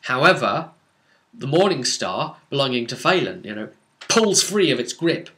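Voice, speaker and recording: Male game master for a vlog recap: bright, forceful voice, theatrical storyteller who leans hard on key words. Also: mid-range voice, big pitch swings. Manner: theatrical, dramatic emphasis and drawn-out words.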